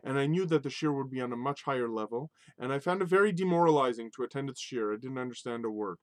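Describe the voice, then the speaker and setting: A man giving a spoken Torah lesson in an animated, emphatic way, mixing Hebrew religious terms into English. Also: mid-range voice, fast, no room sound. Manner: animated, emphatic, rises sharply on key points. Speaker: Torah teacher.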